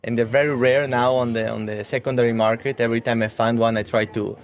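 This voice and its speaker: Guy around 30 being interviewed, mid pitch, stumbles and repeats words a lot. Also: little pitch movement. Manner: halting, often repeats words. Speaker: watch brand executive being interviewed. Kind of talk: interview answers.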